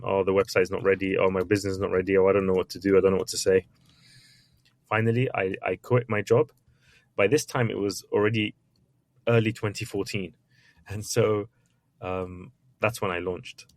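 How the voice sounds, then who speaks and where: A man's voice: low voice, flat delivery, a little fast, vocal fry. A man, an author and entrepreneur, answering interview questions in a candid, chatty way with frequent restarts. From Iraq, raised in England. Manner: candid, chatty, with many restarts and little acted quotes.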